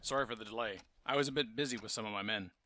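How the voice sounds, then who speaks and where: An actor playing a guarded, businesslike police captain in a fan audio drama, speaking in a matter-of-fact official way. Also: male voice, lowish voice, very fast, heavy background noise, close to an everyday voice.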